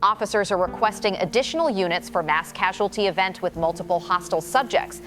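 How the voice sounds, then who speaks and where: An actress playing a serious, formal field reporter giving a detailed live news report in an audio drama. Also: female voice, mid-range voice, lightly acted.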